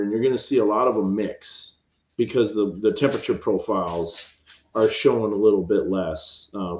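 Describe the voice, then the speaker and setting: Hushed low-voiced man at home. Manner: quiet, hushed, rambling forecast talk. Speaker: meteorologist host. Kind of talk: late-night weather livestream. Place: upstairs room at home at midnight. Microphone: cheap home mic. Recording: roomy, dull home mic.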